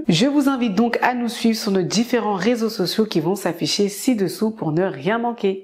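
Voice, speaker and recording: Young woman host, clear upbeat scripted delivery, lapel mic in a studio. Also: medium pitch, a little mellow. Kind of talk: scripted presenting to camera. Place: studio with light backdrop.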